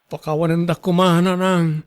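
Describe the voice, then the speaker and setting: Young student boyfriend for radio serial, male actor, Filipino accent; earnest, apologetic, slightly higher-pitched voice. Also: level tone, normal speed, smooth, close to an everyday voice. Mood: concerned, apologetic. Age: young adult. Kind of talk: radio drama acting.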